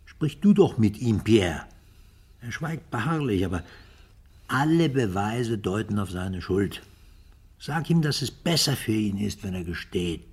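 A man's voice: fairly low pitch, animated, a little slow, slightly rough. An actor playing a stern, insistent police detective in a German radio crime drama, arguing his case with emphasis.

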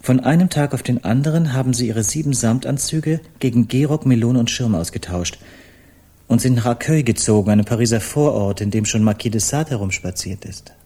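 A polite Parisian man of the arts in a radio play. His tone is dark and relaxed. He speaks formally and deliberately, in a matter-of-fact manner. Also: mid-range voice, breathy edge. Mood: polite recounting. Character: polite, measured Parisian artist recounting a friend's past. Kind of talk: German radio play acting.

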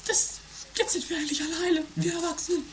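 A woman's voice: very high voice, flat delivery, quick pace. A woman playing a fussy, anxious mother in an improvised amateur radio comedy, theatrical and a little hesitant. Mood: worried. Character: fussy, anxious middle-class mother and wife. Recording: noisy 1980s home-made radio play recording.

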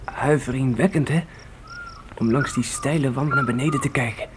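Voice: medium pitch, moderate pace, noisy background, close to an everyday voice. An actor in a Dutch radio play voicing an eager boy on a pioneer trek, exclaiming in wonder. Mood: awed. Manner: awed, exclaiming.

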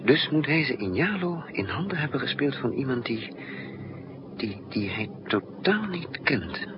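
A man's voice: mid-range voice, sing-song, unhurried. An actor playing a resourceful male spy in a 1960s Dutch radio drama, thinking aloud in hesitant, broken phrases. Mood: intent, puzzling. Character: resourceful male spy narrating his own risky mission. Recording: muffled, noisy 1960s radio recording.

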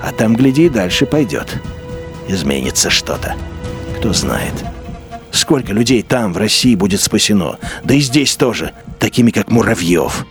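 A man's voice: on the low side, very animated, moderate pace. Man narrating a radio drama over faint music.

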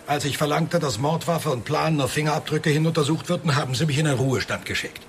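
An actor playing an embittered retired police detective in a radio drama, older, stern and reproachful. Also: male voice, a little high, quick pace, a little hiss or hum, close to an everyday voice. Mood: indignant.

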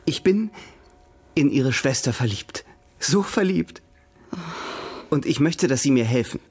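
A man's voice: mid-range voice, expressive, a little slow, a little mellow, breathy edge. An actor in a radio drama playing an eager, lovestruck male suitor, speaking courteously and effusively. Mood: pleading, eager.

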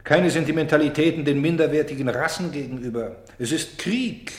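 A man's voice: on the high side, a little fast, roomy sound, natural voice. A man playing a persistent, accusing interrogator in a German radio play, like a voice of conscience, with probing, sardonic, pointed delivery. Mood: mocking, sardonic.

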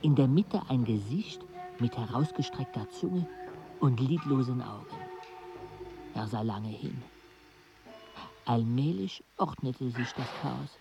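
A man in a radio play acting a weary travel companion who narrates in a measured, reflective way. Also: mid-range voice, animated, gravelly, over music, poor audio. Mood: reflective narration.

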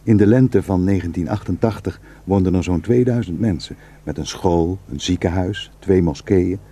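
An actor playing a simple Kurdish shepherd, narrating his memories reflectively with a husky voice in a radio drama. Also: sits low, normal speed. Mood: nostalgic, reflective. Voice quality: husky, dark.

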